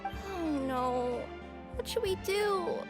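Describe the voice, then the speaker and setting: Game role: a polite, worried young courier girl, extremely high voice, hesitant and earnest. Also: lively intonation, slow, over loud music, full character voice. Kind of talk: video game voice acting.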